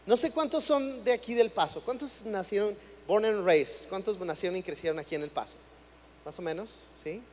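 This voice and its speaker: A man's voice: high-pitched, moderate pace, a little hiss or hum. A young man from Chihuahua, Mexico, preaching a sermon in a church in a conversational way, often repeating words as he starts a phrase. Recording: long hall reverb.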